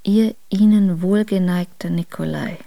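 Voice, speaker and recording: A woman reading historical letters in a radio drama, in a formal, measured way. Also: lowish voice, steady tone, creaky, warm tone, no room sound. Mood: formal, cautioning. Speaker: letter reader.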